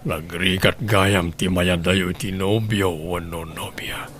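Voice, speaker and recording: A jilted lover, voiced by a man in a radio drama, clinging to an old love. His voice sits low and he drags his words out slowly, pleading. A faint hiss of noise runs behind him. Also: steady tone, fairly bright tone. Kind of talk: audio drama acting.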